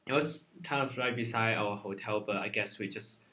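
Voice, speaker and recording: A man's voice: fairly low pitch, normal speed, sharp tone. A man telling a trip story in casual chat, hesitant and full of fillers. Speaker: interviewee.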